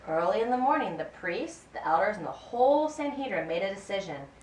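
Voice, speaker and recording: A woman reading a scripted Bible story as narrator of a puppet show, in a deliberate, expressive way. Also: mid-range voice. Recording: roomy, distant mic, faint background noise.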